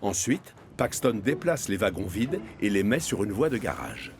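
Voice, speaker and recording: A man's voice: fairly low pitch, big pitch swings, moderate pace, a little hiss or hum. A man narrating a scripted children's cartoon story as the storyteller.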